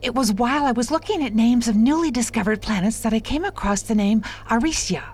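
A woman as an earnest, high-voiced scientist. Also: lively intonation, a little fast, a little mellow. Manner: formal, articulate, earnest. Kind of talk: science fiction radio drama acting.

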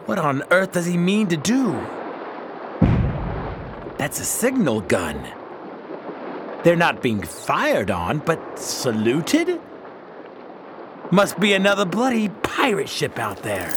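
An actor playing a stubborn, defiant captive sea captain in a period radio drama, with dramatic, old-fashioned delivery. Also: male voice, on the high side, huge pitch swings, slow pace, pauses often, background noise, heavily acted. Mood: strained, plotting.

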